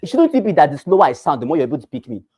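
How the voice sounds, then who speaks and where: A man's voice: on the high side, sing-song, very fast, breathy edge, no room sound, clean studio sound. A man with a Nigerian accent giving a training talk to realtors, repeating points and asking rhetorical questions. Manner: swings between loud bursts and quiet asides. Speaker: real estate trainer.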